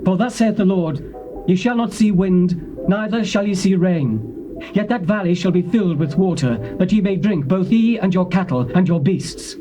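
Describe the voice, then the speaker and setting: An actor performs a commanding prophet who declaims each pronouncement with solemn weight. The voice sits high and carries over music in the background of this scripture dramatization. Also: male voice, normal speed, lightly acted. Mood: proclaiming. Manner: solemn, declamatory, proclaiming oracles. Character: stern commanding male prophet.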